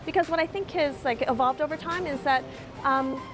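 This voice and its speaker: Earnest high-voiced woman executive. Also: a little fast, music in the background. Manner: earnest, animated, gestures while speaking. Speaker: grocery chain executive. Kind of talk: TV news interview. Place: grocery store interior.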